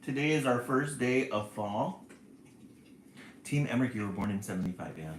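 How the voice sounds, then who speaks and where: Guy in his 40s doing a live stream, reading chat out loud, really bouncy voice, echoey room. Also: mid-range voice, lively intonation, normal speed, a little hiss or hum. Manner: chatty, reads viewer comments aloud, laughs often. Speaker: livestream host. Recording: roomy echo.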